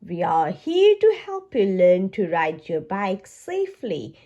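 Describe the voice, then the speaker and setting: A woman voicing friendly police officers who instruct children in a storybook, speaking deliberately and encouragingly. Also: fairly low pitch, sing-song, warm tone, highs cut off, voice pushed down, exaggerated voice. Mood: instructive. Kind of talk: storybook read-aloud.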